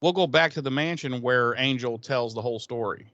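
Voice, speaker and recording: Bright-voiced man joking crudely. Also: mid-range voice, brisk, dry recording, highs cut off. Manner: crude, sarcastic humor with swearing. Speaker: podcast co-host. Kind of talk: casual episode recap chat.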